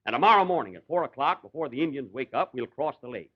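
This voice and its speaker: A man's voice: mid-range voice, lively intonation, fast talker, dull audio, close to an everyday voice. A man playing a fussy, exasperated Ranger major in a 1940s radio comedy, mock-commanding and put-upon. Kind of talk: 1940s radio comedy acting before live audience. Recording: 1940 live radio broadcast.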